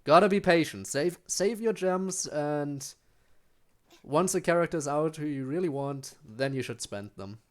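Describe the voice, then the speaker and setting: A man's voice: on the high side, measured pace. A young man with a German accent, a native German speaker, casually chatting with his chat while streaming a game, with frequent ums and restarts.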